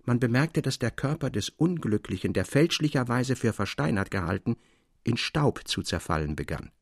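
A man giving a measured, solemn literary narration, as for an audiobook. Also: mid-range voice, animated, gravelly, husky, no room sound, studio quality.

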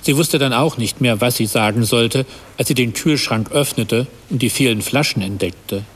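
A man's voice: medium pitch, moderate pace, breathy edge, natural voice. An actor playing a troubled husband and father, narrating a radio play as a reflective, self-reproachful confession. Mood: rueful, brooding. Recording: long reverb, faint background noise.

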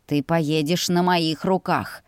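A woman's voice: sits low, fairly even intonation, slow, clean tone, no room sound, clean studio sound. A woman voicing a stubborn male dragon general, curt, smug and possessive, in a fantasy audiobook. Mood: stern.

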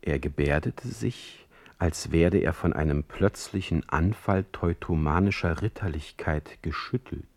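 Radio drama: a middle-aged exiled poet, lowish voice, sardonic wit, ornate and mocking delivery. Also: male voice, a little slow, vocal fry, a little mellow. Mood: wry, dictating. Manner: mocking, eloquent, ornate irony. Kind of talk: radio play acting with letter narration.

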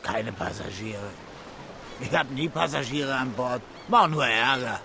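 In a radio play, a stubborn sea captain who answers in blunt, clipped phrases. His voice is gravelly and mid-pitched. A noisy background runs behind it. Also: a little slow, bright, forceful tone. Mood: curt. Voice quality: curt, blunt replies. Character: gruff stubborn male ship captain. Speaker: ship captain.

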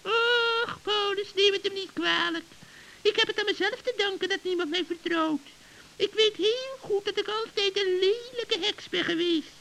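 Shrill, creaky old witch. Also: moderate pace, pushed and bright, full character voice. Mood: sweetly gushing. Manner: wheedling, gushing. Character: cackling old female witch, sugary sweet and scheming. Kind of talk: children's radio play acting. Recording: hissy old recording, echoey hall reverb.

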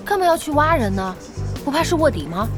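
A woman's voice: sits high, sing-song, fast talker, over music. A woman playing a perky young gamer girl in an audio drama, cheeky, eager and teasing. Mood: curious. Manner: bubbly, teasing. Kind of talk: Chinese audio drama acting.

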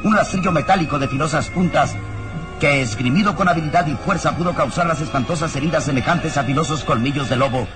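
A man narrating a radio serial from a script in a dramatic, solemn, deliberate way. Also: a little high, over music.

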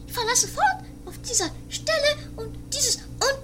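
A woman's voice: big pitch swings, normal speed. A woman playing a flustered, dim-witted servant in a comic radio play, jittery and stammering, on a very noisy homemade recording. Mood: nervous, indignant. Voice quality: extremely high, squeaky voice. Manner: jittery, stammering, repeating words. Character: flustered, squeaky, dim-witted female coffee-making servant.

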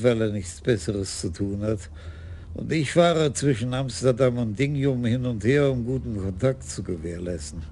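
A dark, gravelly weary commissioner. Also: male voice, medium pitch, a little hiss or hum. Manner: dry, sighing, clipped. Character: weary senior police commissioner, dry and terse. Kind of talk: 1980s radio drama acting.

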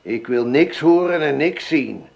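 An actor playing a gruff, distrustful old farmer in a radio drama, talking in a blunt, grumbling way. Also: male voice, on the high side, expressive, measured pace, a little mellow. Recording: dull lo-fi radio play recording.

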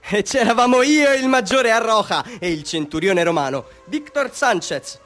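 An actor playing a bitter, half-mad village man telling his tale in a theatrical radio drama monologue, swinging between hushed confiding and fervent declaiming. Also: high-pitched, lively intonation, moderate pace, faint music behind. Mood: excited, fervent.

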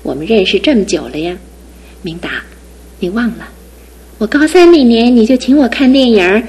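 A woman's voice: on the high side, animated, a little mellow, slightly rough. An actress voicing an independent, teasing young female student about to graduate, in a studio radio drama. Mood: tender earnest. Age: young adult. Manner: teasing and brisk, then tender. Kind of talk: 1960s-70s studio radio drama acting.

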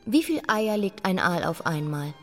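A defiant young queen with a very animated, swinging intonation. She is sardonic and blunt in a radio-play style, with faint music behind her. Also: lowish voice, normal speed. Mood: defiant, probing. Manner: blunt, challenging questions. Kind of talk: radio drama acting.

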